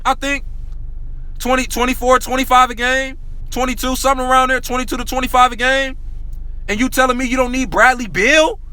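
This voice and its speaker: Hyped, bright-voiced man ranting about basketball. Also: very high-pitched. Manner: excitable, repetitive, slangy, addresses viewers as y'all. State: losing his voice. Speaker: sports talk vlogger. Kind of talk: hyped casual basketball rant.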